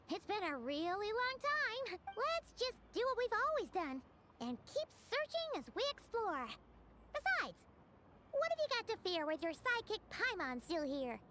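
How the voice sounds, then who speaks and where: A woman voicing a small, cheerful, boastful childlike fairy sidekick in a video game, with a squeaky voice and bubbly manner. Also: background noise. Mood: reassuring. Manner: bubbly, refers to self in third person. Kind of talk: video game character dialogue. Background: game music.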